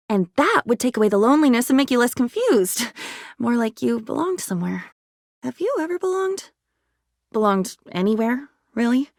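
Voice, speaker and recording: A woman's voice: fairly high pitch, a bit bright, dry recording, clean studio sound. An actress playing an unhinged, dreamy woman with a volatile temper who rambles disjointedly in a video game. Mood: haunted, dreamy. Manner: disjointed rambling, swinging between wistful and agitated. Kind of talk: video game character voice acting.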